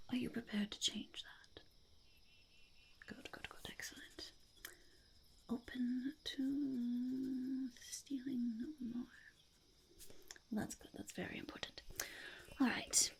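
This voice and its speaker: A woman's voice: on the high side, vocal fry. A woman voicing a fussy, impatient goblin boss in a whispered ASMR roleplay, muttering and repeating words. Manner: speaks of self in third person, repeats words, mutters approval.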